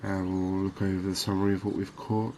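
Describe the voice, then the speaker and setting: A soft-spoken man giving unhurried, soothing ASMR commentary over a video game. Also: deep voice, level tone, a little hiss or hum. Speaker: gaming video host.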